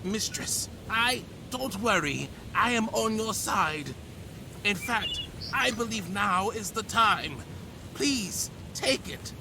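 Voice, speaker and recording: An actor playing a haughty, condescending official in a fantasy game, speaking formally and with measured authority. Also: male voice, high-pitched, lively intonation, gravelly, noisy background, exaggerated voice. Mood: deferential. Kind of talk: dramatic game cutscene acting.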